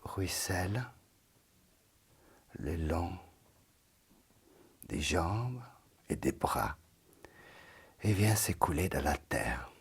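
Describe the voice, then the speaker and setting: A man guiding a yoga nidra session in a very breathy, husky, low voice. He speaks slowly and calmly, and his lines often sink into a gentle half-whisper. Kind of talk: guided meditation.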